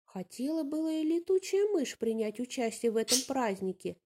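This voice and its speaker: A woman with a slightly husky voice, telling a fairy tale aloud as an expressive storyteller. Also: sits high, moderate pace, background noise.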